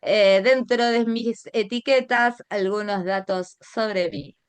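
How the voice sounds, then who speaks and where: A woman's voice: medium pitch, fairly even intonation, slow, clear, resonant tone, no echo. A middle-aged Argentine woman presenting an online art class as its teacher, guiding listeners directly and asking them rhetorical questions. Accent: Argentine Spanish.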